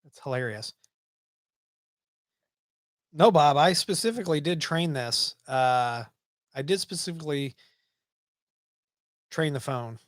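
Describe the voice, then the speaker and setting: A man's voice: on the high side, lively intonation, slow, pauses often, no echo, studio quality. A man in his forties hosting a casual live stream from a small home office, chatting with many ums and restarts. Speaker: livestream host. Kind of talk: casual live-stream chat reading viewer comments.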